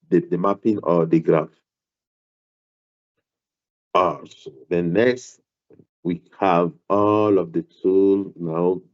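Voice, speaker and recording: Deep-voiced guy with a foreign accent teaching maths on a call, lots of uh and repeated words, muffled lo-fi sound. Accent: non-South African, foreign to English. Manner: hesitant, many repeated words and fillers. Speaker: maths lecturer. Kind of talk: online class lecture. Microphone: computer mic on a video call. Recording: dull lo-fi online audio.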